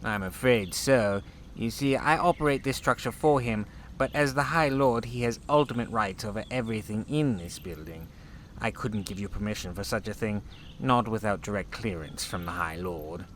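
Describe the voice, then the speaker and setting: A man playing a courteous, reserved chief officer in a sci-fi audio drama, speaking in a polite, formal, measured way. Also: lowish voice, a bit bright, slight background noise, natural voice. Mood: firm, apologetic.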